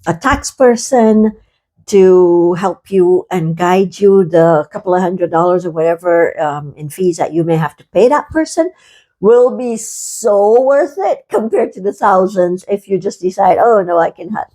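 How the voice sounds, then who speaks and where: A woman's voice: lowish voice, mellow. An older woman from Barbados talking in a rambling, earnest vlog monologue, full of fillers and pleading asides. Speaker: vlogger.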